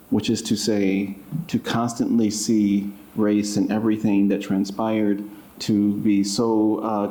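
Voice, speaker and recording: A man giving deliberate, thoughtful interview answers as an author at an indoor public event. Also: lowish voice, warm tone. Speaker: author and creative writing professor being interviewed. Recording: roomy, echoing library event sound.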